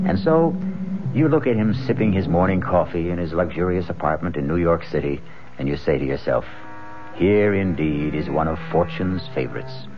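A very low-voiced American man narrates a vintage radio mystery. His delivery is deliberate and theatrical. The sound is a muffled old broadcast with music behind him. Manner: deliberate, theatrical, suspenseful storytelling. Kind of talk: 1970s radio drama narration.

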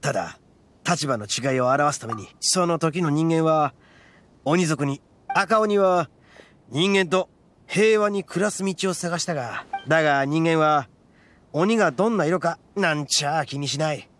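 An actor playing a brash, proud young horned oni gang boss in an anime game, with rough, blunt, swaggering speech. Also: male voice, fairly high pitch, a little slow, a bit bright. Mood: solemn, explaining.

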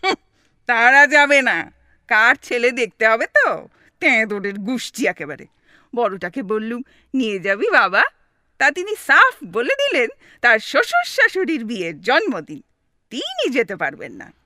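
An elderly Bengali wife in a comic radio play, sharp-tongued and exasperated. Her high, piercing voice swings widely as she scolds and grumbles with theatrical sarcasm, in a dry recording. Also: huge pitch swings, unhurried, no echo, heavily acted. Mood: sarcastic, irritated. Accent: Bengali, West Bengal. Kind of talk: comic audio drama acting.

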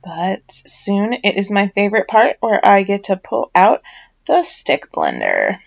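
A middle-aged woman talks through a home project in a casual voiceover. Her voice sits at an ordinary middle pitch, and her chatty, self-deprecating manner comes with small fillers and restarts. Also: huge pitch swings, a little slow, vocal fry, dull audio. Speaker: home soap maker.

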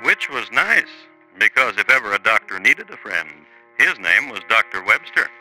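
An actor playing a wry, theatrical narrator of true crime tales in a 1950s radio drama, speaking deliberately. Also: male voice, on the low side, sing-song, pushed and bright, exaggerated voice, rough recording. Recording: vintage 1950s radio, faint music.

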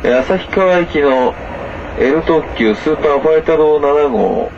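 Male train conductor, polite and halting, muffled PA sound with train noise. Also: lively intonation, creaky, a little mellow. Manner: formal, halting with many filler pauses. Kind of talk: onboard train announcement. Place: inside a moving sleeper train. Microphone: camera recording the train's PA speaker. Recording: muffled PA speaker over rumbling train noise. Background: train running noise.

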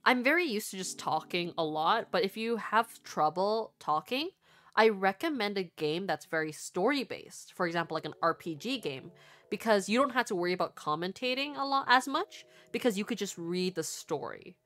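A woman's voice: mid-range voice, lively intonation, normal speed, a bit bright. A young woman VTuber chatting casually with her livestream viewers in a rambling, giggly manner. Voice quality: stuffy nose at times. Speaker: VTuber streamer and artist. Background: faint background music.

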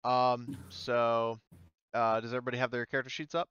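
A man's voice: medium pitch, little pitch movement, fairly quick, a bit bright, smooth, no echo, lo-fi sound. A man working as game master, narrating a roleplaying session casually and often searching for words. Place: voice chat in an online game session.

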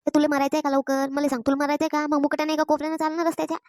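A woman's voice: high voice, level tone, rapid-fire, darkish tone, no room sound, studio quality, close to an everyday voice. A woman voicing an exasperated, scolding village mother in a cartoon, with a rural Vidarbha Maharashtrian accent and a repetitive, complaining manner. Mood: fretting, nagging. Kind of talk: Marathi cartoon comedy voice acting.

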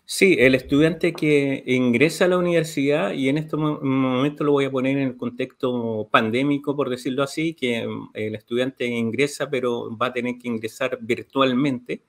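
A man's voice: medium pitch, moderate pace, few pauses. A middle-aged man with a Chilean Spanish accent, explaining services step by step on a video call, with many "eh" fillers. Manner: steady, detailed, with frequent "eh" fillers. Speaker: university library official. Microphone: video call webcam.

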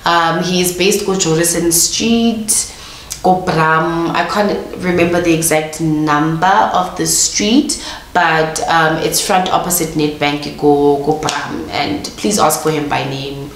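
A young South African woman with a Sotho accent, talking to her viewers in an easy, chatty way and sprinkling in affectionate pet names. A cold leaves her a little nasal and her voice sits on the low side. The room around her is roomy, with a faint hiss underneath. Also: steady tone, slow pace. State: nasal from a flu. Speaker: vlogger. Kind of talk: casual chat to camera. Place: small room indoors, couch, pink lighting. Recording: roomy indoor sound with faint noise.

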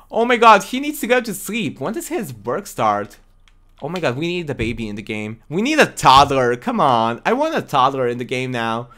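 A young man with English as a second language, chatting casually to viewers while streaming a life-simulation game from a small room at home. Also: medium pitch. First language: not English. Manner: chatty, excitable, talks to chat viewers. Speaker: livestream host. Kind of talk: casual gaming livestream chat.

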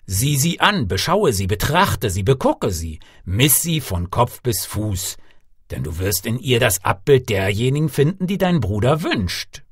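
Man playing a husky, shrewd ragged beggar. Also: mid-range voice, very animated, a little slow, no room sound, clean studio sound. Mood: confiding, instructing. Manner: emphatic, proverb-laden, persuasive. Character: ragged male beggar, shrewd, talkative, kindly advising. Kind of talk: storybook character voice in an audiobook.

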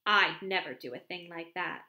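A woman's voice: fairly low pitch, normal speed, sharp tone. A woman voicing a chatty, arch young secretary who gushes and gossips in an audiobook reading. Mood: gossipy. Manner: chatty, breathless, exclamatory. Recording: roomy echo.

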